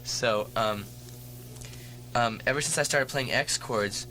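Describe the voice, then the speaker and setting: A man's voice: mid-range voice, takes it slowly, bright, forceful tone, a little hiss or hum, poor audio. A man being interviewed as a musician guest on a campus radio show, rambling with wry jokes and asides.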